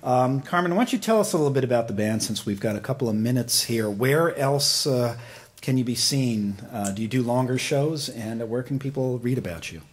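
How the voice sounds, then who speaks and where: A man's voice: mid-range voice, lively intonation, fairly quick, husky. A man hosting a casual live interview on the radio. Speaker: radio host.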